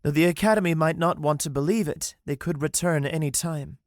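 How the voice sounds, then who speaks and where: A man's voice: a little high, fairly quick, no room sound, clean studio sound, voice pushed up, lightly acted. A man voicing a shy, earnest young woman mage who speaks hesitantly.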